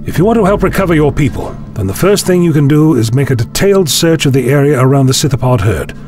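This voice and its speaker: A man acting a learned, calmly authoritative time-travelling scientist in a science fiction audio drama, speaking in measured, formal phrases. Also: fairly high pitch, lightly acted. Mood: decisive. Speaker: lead actor in a fan audio drama.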